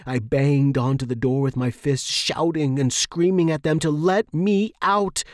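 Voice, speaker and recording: A man's voice: a little high, steady tone, unhurried, no room sound, clean studio sound. A man hosting a fiction podcast and narrating scripted horror in a dramatic style.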